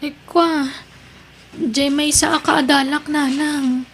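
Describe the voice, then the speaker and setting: A woman's voice: high-pitched, fairly even intonation, slow, slight background noise. A woman with a Filipino accent playing a sweet, evasive teenage schoolgirl daughter in a family drama. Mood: apologetic. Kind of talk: Filipino radio-style family drama acting.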